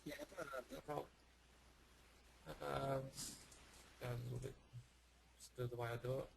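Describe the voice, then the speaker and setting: A man with a hoarse, fading voice, giving live commentary on currency charts in short, clipped phrases. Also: medium pitch, flat delivery, normal speed, background noise. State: hoarse, losing his voice. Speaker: trading room host. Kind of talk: live market analysis over charts.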